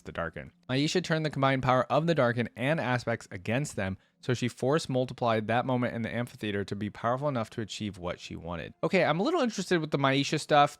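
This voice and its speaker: A man hosting a reaction video, giving casual, expressive and enthusiastic commentary. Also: medium pitch, no room sound. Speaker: host of a reaction channel.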